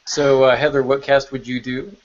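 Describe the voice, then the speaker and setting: A man's voice: mid-range voice, little pitch movement, moderate pace, a little hiss or hum. Wry male host, slightly muffled. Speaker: podcast host. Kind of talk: casual chat.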